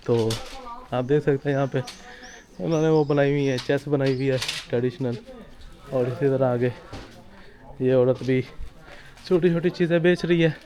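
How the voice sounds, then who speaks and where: Young male travel vlogger, mid pitch, casual walk-and-talk delivery, recorded outdoors on a handheld camera. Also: darkish tone. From Pakistan. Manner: chatty and conversational, pointing things out as he walks. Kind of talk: casual walking tour commentary. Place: outdoors in narrow old-town streets.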